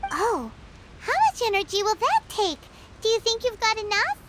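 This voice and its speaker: A woman playing a tiny, excitable childlike fairy companion in a video game, with a squeaky, cutesy, exclaiming delivery. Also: normal speed, slight background noise, full character voice. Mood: concerned. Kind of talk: video game character acting. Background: music.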